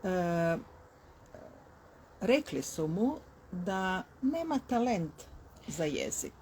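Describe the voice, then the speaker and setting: A patient woman teacher speaks slowly in simple sentences so a learner can keep up. Her voice sits a little low. Clear background noise runs underneath her speech. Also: very animated, darkish tone. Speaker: language school teacher. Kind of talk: interview answers in simple Croatian.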